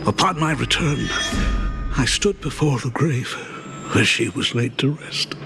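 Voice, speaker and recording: An actor voicing a middle-aged, dignified academy dean and grieving father, formal and deliberate, in a video game. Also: medium pitch, expressive, vocal fry. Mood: mournful. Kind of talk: dramatic video game voice acting. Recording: loud music under the voice. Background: game music.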